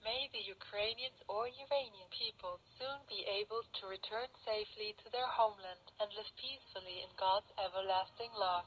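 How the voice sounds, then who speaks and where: A woman's voice: medium pitch, normal speed, hardly any pauses, a bit bright, a little hiss or hum. A woman presenting scripted news, heard through a muffled shortwave radio capture.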